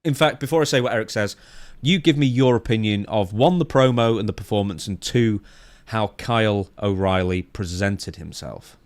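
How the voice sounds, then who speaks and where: A man's voice: fairly low pitch, moderate pace, no room sound. A man hosting a podcast, reading out news items and chatting about them in a wry, conversational way. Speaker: podcast host.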